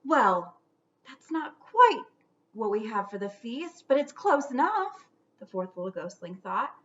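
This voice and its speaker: A woman reading a children's storybook aloud theatrically, stretching out words and dropping into hushed whispers for suspense. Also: a little high, very animated, measured pace, dull audio.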